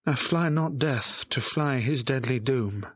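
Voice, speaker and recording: An actor playing a passionate, lovesick young gentleman in a Shakespearean audio drama, declaiming in a plaintive, despairing way. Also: medium pitch, a little slow, slightly rough, dry recording, lo-fi sound. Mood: anguished.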